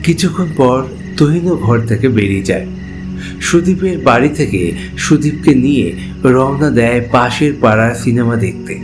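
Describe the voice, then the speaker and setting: A man's voice: medium pitch, animated, moderate pace, rough recording. A man narrating a scripted ghost story as a storyteller. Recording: clear music bed under voice.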